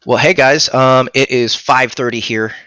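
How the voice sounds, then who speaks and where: A man's voice: mid-range voice, steady tone, unhurried, pushed and bright, clear, resonant tone, dry recording. A man in his thirties chatting casually on a live stream as a guest voice actor, talking into a headset mic at home. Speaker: guest, audiobook narrator and voice actor. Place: home room, on a video call.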